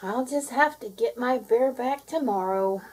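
A woman reading a children's story aloud in a dramatic, expressive storytelling manner. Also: fairly high pitch, measured pace, slightly rough, low-quality recording. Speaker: storybook reader.

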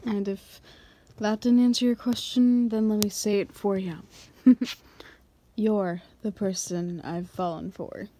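Woman playing a slow-talking, teasing female boss. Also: mid-range voice, steady tone, dark tone, slight background noise, natural voice. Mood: teasing, seductive. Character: confident, teasing female boss confessing to an employee. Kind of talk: ASMR roleplay.

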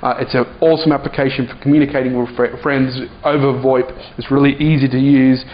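A man's voice: mid-range voice, level tone, a little fast. A man presenting a casual, unscripted product demonstration as an app reviewer. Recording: dull lo-fi sound, clear background noise.

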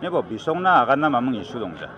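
A man's voice: mid-range voice, big pitch swings, rapid-fire, dull audio. A middle-aged man with a Northeast Indian accent, speaking as a political candidate in an argumentative, emphatic press interview. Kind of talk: press interview, mixing in English. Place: outdoors at an evening gathering. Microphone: handheld news microphones held close. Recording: busy outdoor crowd behind voice. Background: crowd noise.

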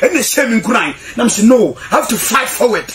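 A young man with a Ghanaian accent talking informally and excitably as a studio guest into a microphone. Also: very high voice, fairly quick, a little hiss or hum. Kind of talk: animated informal studio talk in Pidgin and Twi.

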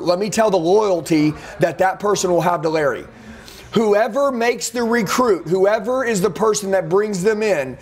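A man's voice: high voice, breathy edge. A middle-aged man coaching salespeople, blunt and intense, acting out recruiting conversations as examples. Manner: intense, blunt, preachy with role-play. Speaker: sales trainer. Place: dark studio office with neon sign.

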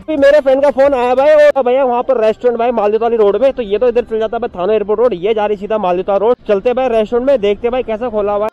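A man's voice: sits high, mellow, lo-fi sound. A man in his thirties giving a chatty walking tour for his vlog, speaking into a handheld selfie camera. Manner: chatty, says "guys" and "bhai" constantly. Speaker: vlogger. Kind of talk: casual travel vlog tour. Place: outdoors on a scooter and walking through a cafe garden.

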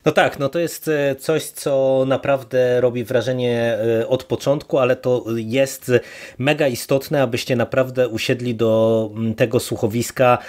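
Co-host: male, average pitch, conversational podcast discussion style. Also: fairly even intonation, unhurried, a little mellow.

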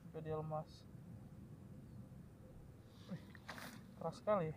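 Excitable high-voiced man outdoors. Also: slow. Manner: excited, joking, addresses viewers. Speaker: eel fishing vlogger. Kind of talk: casual outdoor fishing commentary. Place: outdoors in grassy wetland by a ditch. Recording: outdoor field recording with loud ambient noise.

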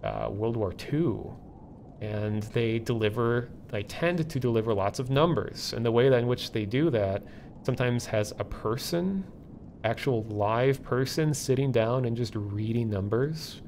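A man hosting a livestream, explaining things casually and off the cuff in a halting, thinking-aloud way. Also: medium pitch, animated, darkish tone, slight background noise. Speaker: livestream host. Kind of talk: casual live explainer, unscripted.

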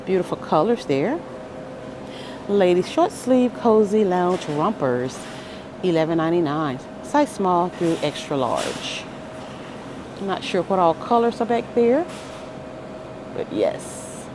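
A woman strolls the aisles of a big store, calling out items and prices at an unhurried pace with a lively, swooping voice. Her phone picks up steady store noise around her. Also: on the low side. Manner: upbeat, listing items and prices with little exclamations. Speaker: shopping vlogger. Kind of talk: shop-with-me price walkthrough. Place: aisles of a large grocery store, indoors. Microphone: handheld phone camera.

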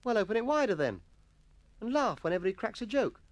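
A man voicing an eager, earnest English schoolboy, over-polite and excitable, in a children's story reading. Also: high voice, brisk, no echo, pitched above the performer's own voice.

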